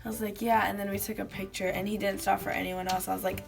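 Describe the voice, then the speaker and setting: A teenage girl vlogging casually to a webcam in her bedroom, telling stories with lots of fillers and giggles, her voice slightly hoarse from having lost it. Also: medium pitch, flat delivery, fast, over music. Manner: chatty, giggly storytelling with many fillers. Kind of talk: casual chat to camera. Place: small bedroom indoors.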